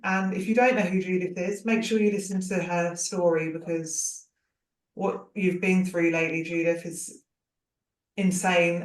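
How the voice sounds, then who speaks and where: A woman hosting a podcast, chatty and blunt. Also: on the low side. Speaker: podcast host. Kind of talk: casual podcast chat. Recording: roomy, distant mic.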